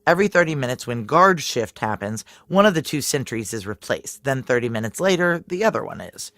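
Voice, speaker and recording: A woman's voice: a bass voice, very animated, fairly quick, fairly bright tone, no echo, heavily acted. An actress playing a tense, hard-boiled female security chief who narrates her inner thoughts in a noir audio drama, terse, wry and confiding. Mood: firm, tense.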